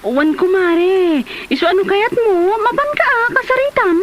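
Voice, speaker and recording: A woman's voice: extremely high pitch, animated, moderate pace, dull audio, background noise. A woman with an Ilocano Filipino accent playing a teasing, nosy village friend in a comedy drama. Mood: helpful.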